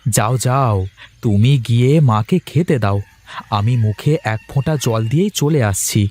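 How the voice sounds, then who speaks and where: A man's voice: mid-range voice, a little slow, darkish tone, close to an everyday voice. A man voicing an affectionate young cartoon husband and dutiful son, playful and earnest in his delivery. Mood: teasing. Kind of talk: cartoon voice acting.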